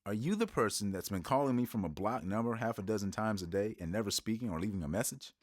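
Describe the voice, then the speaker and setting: A man's voice: on the low side, quick pace, no echo, clean studio sound, close to an everyday voice. A man playing a nervous, nerdy young banker who turns gushing and eager. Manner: flustered, then gushing.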